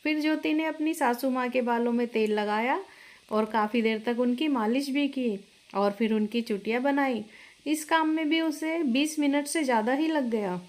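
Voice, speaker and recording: A woman's voice: high voice, normal speed, a little mellow. A woman reading a scripted family story as its narrator.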